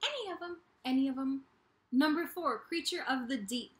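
A young woman hosting a casual vlog to camera, talking in a bubbly, playful, animated way in a small room. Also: high-pitched, moderate pace. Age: young adult. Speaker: YouTube host of a book channel. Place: small bedroom indoors. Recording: echoey untreated room.

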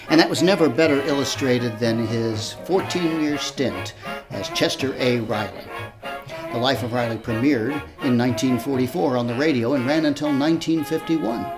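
An older man hosting, presenting a scripted introduction to camera. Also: mid-range voice. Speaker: host. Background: music.

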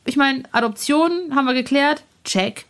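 A woman's voice: high voice, moderate pace, a bit bright, dry recording. A woman in her forties hosting a podcast in a chatty, enthusiastic, casual way. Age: 40s. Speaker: podcast host and interviewer. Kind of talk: casual chat. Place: outdoor roof terrace.

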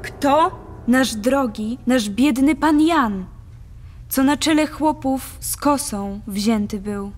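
Radio play daughter, eager young aristocrat, high voice, unhurried, long reverb. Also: female voice, faint music behind. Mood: eager, wistful. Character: tender young noble daughter, eager and wistful. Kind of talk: theatrical verse radio drama.

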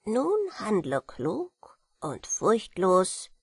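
A woman's voice: medium pitch, huge pitch swings, extremely slow, breathy edge, no echo, studio quality, heavily acted. A woman voicing a small, solemn dwarf king from a fairy tale, pleading in earnest, formal speech. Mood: solemn, urging.

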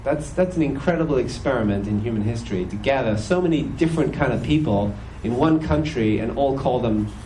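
A man's voice: medium pitch, expressive, warm tone, low-quality recording. A middle-voiced British man talks through a Sunday sermon in an easy, joking way, his accent worn down by years in America. He leans on plenty of "you know"s and speaks into a stand microphone on a church stage, with a faint hiss behind him. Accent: British, softened by years in America. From British, raised in several countries. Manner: conversational and good-humored, many "you know"s, puts on quick voices. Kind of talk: Sunday sermon with personal testimony.

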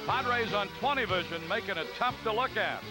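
A man calling baseball play-by-play in a calm, conversational way, reading out stats between pitches. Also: normal speed, music in the background. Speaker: play-by-play announcer. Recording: broadcast booth over loud crowd noise. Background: stadium crowd ambience.

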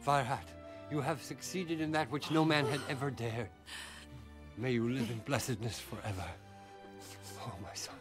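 A man's voice: mid-range voice, normal speed, music in the background, natural voice. A man playing a stately middle-aged king in a cartoon, speaking in a formal, regal way with dry wit. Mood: proud. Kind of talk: animated cartoon voice acting.

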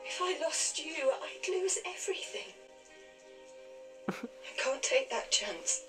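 High-voiced, anguished young lover. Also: female voice, big pitch swings, a little slow, music in the background. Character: anguished young woman refusing her lover. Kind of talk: television period drama acting.

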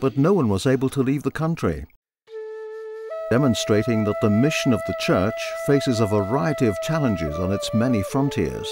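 Animated male documentary narrator over music. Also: mid-range voice, normal speed. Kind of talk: scripted narration. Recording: music bed under the voice. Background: loud music.

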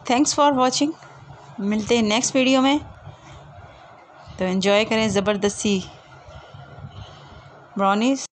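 A woman's voice: fairly high pitch, fairly even intonation, slow, long pauses. A woman giving a casual recipe voice-over as a home cooking host. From Pakistan. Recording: clearly noisy homemade voice-over recording.

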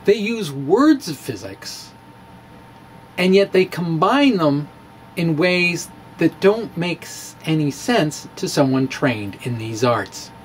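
Middle-aged man, slightly high voice, unhurried, storytelling blog narration, close mic, faint room noise. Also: rough recording. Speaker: physics blogger. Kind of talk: dramatic reading of a blog with informal asides. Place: small indoor room with bookshelves. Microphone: handheld-style microphone on a stand, close in front. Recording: clear background room noise.

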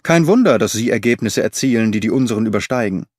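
A man voicing a stern male police inspector, speaking higher and louder than his narration. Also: animated, fast talker, husky, no room sound, studio quality. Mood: reproachful.

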